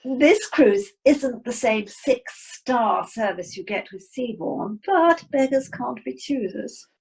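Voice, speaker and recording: A woman's voice: on the high side, lively intonation, slow pace, creaky, darkish tone, slightly rough, full character voice, poor audio. A woman playing a posh, snobbish English wife, genteel and boastful, in an amateur radio comedy. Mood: condescending. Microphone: video call.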